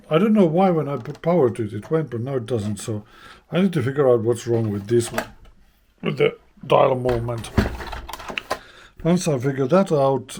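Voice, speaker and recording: A man casually narrating a hands-on repair as he goes, thinking aloud in a halting way. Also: medium pitch, warm tone, breathy edge. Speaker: host of a vintage radio repair channel.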